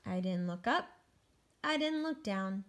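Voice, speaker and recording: A woman's voice: medium pitch, animated, a little slow, a bit bright. A woman voicing an anxious, wistful egg who narrates its own story earnestly in a children's picture book read-aloud. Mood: nervous, resolute. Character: anxious, wistful egg recovering from a great fall.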